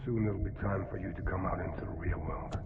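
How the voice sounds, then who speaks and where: A man's voice: low voice, steady tone, a little fast, lightly acted. A man playing a tender father, tinny and noisy. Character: grieving father talking to unborn baby. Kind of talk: video game cutscene acting. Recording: tinny lo-fi audio with loud noise.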